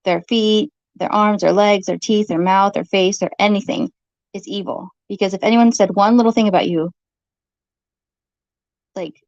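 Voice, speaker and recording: A woman arguing informally in an accusatory, halting way, repeating her phrases, on a slightly dull recording. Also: medium pitch, fairly even intonation, no room sound. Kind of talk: informal argumentative monologue to camera.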